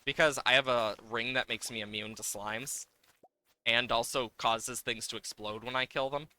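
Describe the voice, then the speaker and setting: A man's voice: medium pitch, fairly even intonation, normal speed, sharp tone. A man giving casual livestream game commentary, playfully exasperated, swearing and exclaiming at the game as he plays.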